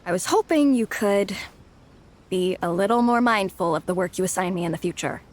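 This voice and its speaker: A woman's voice: mid-range voice, expressive, brisk, close to an everyday voice. An actress playing a polite, hesitant, overworked young female office worker in a video game. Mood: firm, polite.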